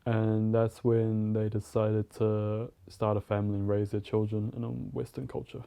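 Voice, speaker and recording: Young New man, deep-toned monotone, plain documentary interviewee. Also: moderate pace, gravelly, smooth. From New Zealand.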